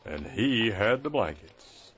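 A man's voice: lowish voice, very animated, slow pace, fairly bright tone, a little hiss or hum, heavily acted. A man voicing a blind caretaker who is folksy, patient and kind. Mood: wry. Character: blind male caretaker, gentle and wry. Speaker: nurse to wounded soldiers. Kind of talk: audio drama acting. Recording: muffled lo-fi recording.

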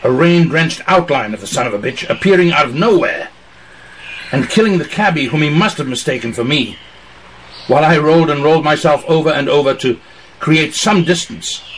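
A man's voice: on the high side, a bit bright, a little hiss or hum, poor audio. A man playing a hard-boiled, gritty noir storyteller in a radio theater monologue, intensely recounting violence. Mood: tense.

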